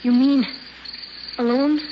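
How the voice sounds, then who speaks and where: A woman's voice: high-pitched, fairly even intonation, takes it slowly. A woman playing an earnest, devoted young boy in an old-time radio drama, asking short, anxious, pleading questions. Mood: anxious, tearful. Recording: dull old radio recording, faint noise.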